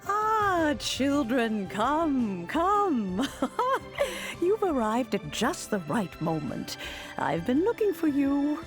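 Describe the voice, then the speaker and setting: A woman voicing a kindly, genteel immortal granny in a video game, husky and formally spoken. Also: high-pitched, big pitch swings, extremely slow, soft background music, full character voice. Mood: warm, welcoming. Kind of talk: scripted game character dialogue.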